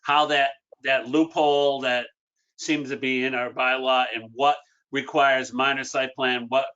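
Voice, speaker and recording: A man with a bright, forceful tone speaks up at a town planning board meeting, halting over his words but firm. The audio is dull and lo-fi, like local cable TV. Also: mid-range voice, little pitch movement, clean tone. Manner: halting, emphatic. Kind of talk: meeting discussion.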